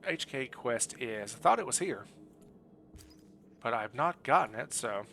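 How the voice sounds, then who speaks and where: A man's voice: mid-range voice, lively intonation, a little slow, vocal fry, fairly bright tone. A man livestreaming a game, chatting casually in a friendly, rambling way as he thinks aloud. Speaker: game streamer. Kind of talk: casual livestream chat over gameplay.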